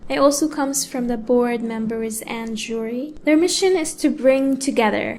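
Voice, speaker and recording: A woman from Egypt, a pianist and educator, giving a prepared speech in a measured way with deliberate pauses. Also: fairly high pitch, a little mellow, a little hiss or hum. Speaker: award-winning pianist and educator giving a talk.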